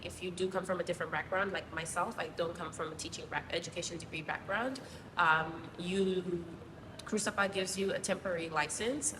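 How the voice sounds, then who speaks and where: A woman from Zimbabwe, a guest teacher, explaining things casually with many pauses and ums. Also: on the low side. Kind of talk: casual interview answers. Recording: distant mic, roomy hall, noisy.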